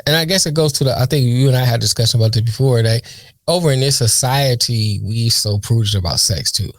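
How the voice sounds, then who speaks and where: A man's voice: medium pitch, quick pace, dry recording. A man co-hosting a casual chat, musing aloud in rambling thoughts and laughing often.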